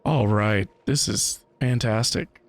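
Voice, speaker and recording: A man's voice: fairly low pitch, slow pace, soft background music. A man reacting casually to a video game as a streamer, in short informal comments.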